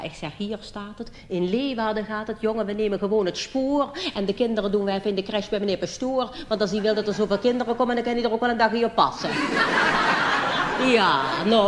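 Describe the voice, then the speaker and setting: A woman's voice: medium pitch, natural voice. A woman playing a chatty, exuberant mother from Maastricht with a southern Dutch Limburg accent in a cabaret monologue. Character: bubbly, gossipy Maastricht mother, cheerfully provincial. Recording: noisy live theatre recording.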